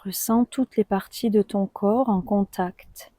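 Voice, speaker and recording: Woman, meditation guide, very slow delivery, mellow dark timbre, calm and soothing, long pauses. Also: medium pitch, dry recording, studio quality. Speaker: yoga nidra guide.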